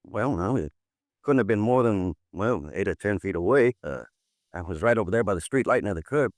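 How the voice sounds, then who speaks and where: A rattled young newsboy acted by a man with an American street-slang delivery, rambling and hesitant. His low voice jumps up and down in pitch, close and dry, in the manner of 1950s radio drama. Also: expressive, brisk, no room sound, close to an everyday voice. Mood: nervous, eager. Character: nervous, talkative young newsboy, eager witness.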